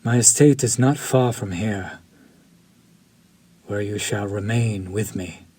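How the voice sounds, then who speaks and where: An actor playing a refined, possessive male vampire chef who speaks formally, slowly and with quiet menace, in an audio roleplay for a listener. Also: on the low side, slightly rough. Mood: seductive. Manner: slow, formal, seductive and menacing.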